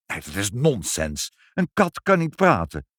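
A man's voice: on the low side, huge pitch swings, unhurried, no room sound, clean studio sound, full character voice. A man voicing an indignant, doting lady who protests emphatically in a children's story. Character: indignant, doting mother, a proper lady in denial.

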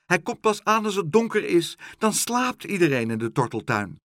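A man voicing a bossy, excitable fat female pigeon in a children's storybook, exclaiming urgently. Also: fairly high pitch, normal speed, no echo, studio quality, voice pushed up. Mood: urgent, defiant.

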